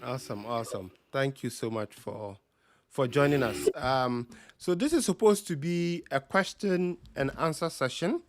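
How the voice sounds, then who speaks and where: A man with a Ghanaian accent hosting a live stream Q&A over a video call, talking slowly with many ums and uhs. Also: mid-range voice, dry recording, studio quality. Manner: slow, relaxed with frequent ums and uhs. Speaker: host.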